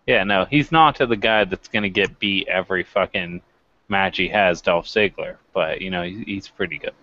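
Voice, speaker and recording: A man's voice: deep voice, normal speed, sharp tone, muffled recording. A man debating casually as a review co-host, insistent and sweary, repeating his points.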